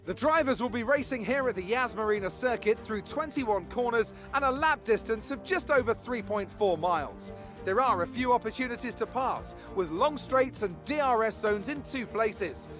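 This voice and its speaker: A man's voice: high voice, animated, normal speed, a bit bright, faint music behind. A man as a polished, upbeat TV race commentator in a video game, presenting in broadcast style. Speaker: lead motorsport commentator.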